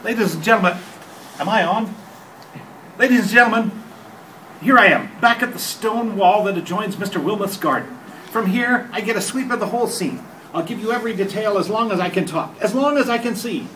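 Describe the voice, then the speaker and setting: Man acting a high-pitched, urgent older radio reporter. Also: fairly quick, rough recording. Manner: urgent, breathless eyewitness delivery. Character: frantic male radio reporter describing an alien attack live. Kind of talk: 1930s radio drama acting. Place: hotel conference room, live panel reading. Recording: live room recording with steady noise.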